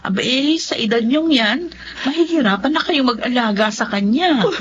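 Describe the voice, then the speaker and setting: An actress playing a kind, calm Filipino doctor in a Tagalog radio drama, explaining gently and reassuringly. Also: female voice, a little high, normal speed, slightly rough. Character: kind, calm, generous female clinic doctor. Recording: dull lo-fi radio drama, music underneath.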